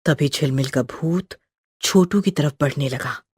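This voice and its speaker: Low, husky woman narrating horror. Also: measured pace, a little mellow, dry recording, studio quality. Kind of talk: scripted horror story narration.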